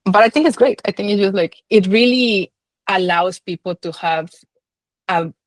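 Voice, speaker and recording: A woman's voice: mid-range voice, normal speed, dry recording. A woman speaking as a scholar being interviewed, thinking aloud in an informal, hesitant, conversational way. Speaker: film scholar and guest.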